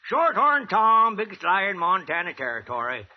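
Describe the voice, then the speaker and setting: An actor playing an eager, toothless old American gold prospector in a 1950s radio western, with a gravelly, cackling voice and a folksy, rambling drawl. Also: male voice, high voice, sing-song, moderate pace. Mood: wry, stubborn. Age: older. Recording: muffled, hissy old radio recording.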